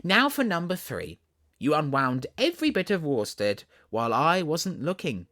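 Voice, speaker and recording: A man's voice: on the high side, huge pitch swings, a little slow, a bit bright, voice pushed up, full character voice. A man voicing a playful young storybook girl, chattering and mock-scolding her kitten with affection. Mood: mock stern.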